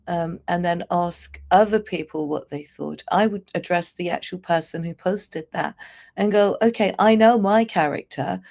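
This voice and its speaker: A woman with a croaky, hoarse voice, talking as a guest in a thoughtful, questioning way. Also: lowish voice, a little mellow. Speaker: guest in an audio room. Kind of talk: casual chat.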